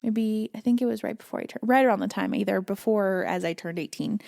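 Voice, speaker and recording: A woman guest on a podcast, telling her life story candidly in an earnest, reflective way with soft laughs. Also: medium pitch, quick pace, gravelly. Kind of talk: candid personal storytelling in conversation.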